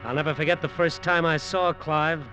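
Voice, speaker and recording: An actor playing a scheming, embittered hustler in an old radio drama, talking in hard-boiled slang. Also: male voice, a little high, fairly even intonation, normal speed, muffled recording, lightly acted. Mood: wry, brooding. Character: scheming, embittered hustler narrating his island venture. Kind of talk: 1940s-50s radio drama acting and first-person narration. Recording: old radio broadcast recording, music behind.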